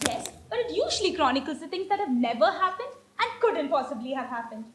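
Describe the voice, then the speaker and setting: A woman's voice: high voice, very animated, fairly quick, fairly bright tone, a little hiss or hum. A woman playing a romantic, pertly innocent young Victorian ward in a stage comedy, with an ingenuous, theatrical manner. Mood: dreamy, playful. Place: live stage play. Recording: echoey stage play recording.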